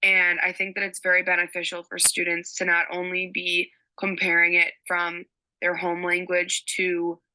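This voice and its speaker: A woman's voice: fairly low pitch, monotone, moderate pace, sharp tone, rough recording. A young woman giving a recorded class presentation on a teaching strategy, explaining step by step with frequent ums. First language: English.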